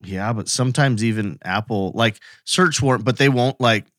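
A man's voice: mid-range voice, moderate pace, a bit bright, dry recording, studio quality. A man co-hosting a podcast, chatting informally, asking questions and thinking aloud in a tentative way. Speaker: podcast co-host. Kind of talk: casual chat, reacting and questioning.

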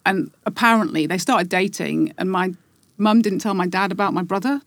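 A woman's voice: mid-range voice, normal speed, vocal fry, dry recording, clean studio sound. A middle-aged woman from England with a faded Geordie accent, talking reflectively as a podcast guest and rambling with many restarts. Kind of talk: casual interview chat.

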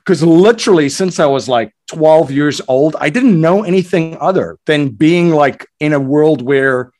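A man's voice: fairly high pitch, expressive, unhurried, darkish tone. A man in his forties, originally from South Africa, guest on a podcast interview, telling his story with plenty of fillers and restarts over a remote call. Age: 40s. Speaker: guest, investor and entrepreneur.

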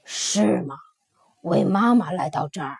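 A big gruff male tyrannosaurus, voiced by a woman for a children's storybook. The voice is low, husky and slow, with a dry close sound. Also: animated, dry recording, exaggerated voice.

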